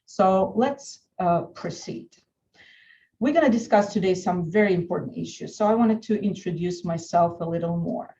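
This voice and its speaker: A woman from Russia with a Russian accent, an attorney giving an earnest, deliberate webinar presentation with frequent restarts. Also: lowish voice, mellow, highs cut off.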